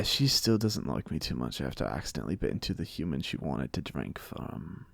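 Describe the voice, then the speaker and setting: Man playing a low-voiced, courteous British vampire. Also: gravelly, dark tone, dry recording, studio quality, full character voice. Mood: amused, gossipy. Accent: British, put on by an American. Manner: polite, formal turns of phrase, wryly conversational. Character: genial, chatty, courteous centuries-old male vampire being interviewed. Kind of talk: ASMR audio roleplay monologue.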